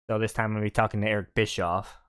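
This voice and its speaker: A man's voice: lowish voice, flat delivery, racing, a bit bright, clean tone. A man giving casual, rambling commentary as the host of a video game let's-play. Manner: rambling, conversational.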